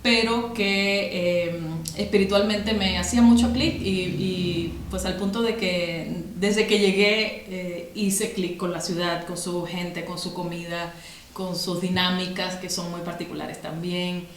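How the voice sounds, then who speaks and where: A woman's voice: on the low side, steady tone, measured pace, roomy sound, a little hiss or hum. A woman with a Cuban accent, a podcast guest talking reflectively with many fillers and restarts. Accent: Cuban Spanish. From Cuba. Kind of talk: conversational interview.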